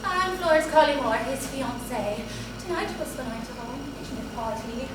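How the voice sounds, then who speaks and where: A woman's voice: high-pitched, normal speed, lightly acted. A woman playing a melodramatic bereaved fiancée with an overwrought, swooning delivery in a staged farce reading. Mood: grieving. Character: melodramatic, secretly unfaithful bereaved young fiancée. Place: theatre staged reading, distant mic. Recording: very noisy echoey hall, distant mic.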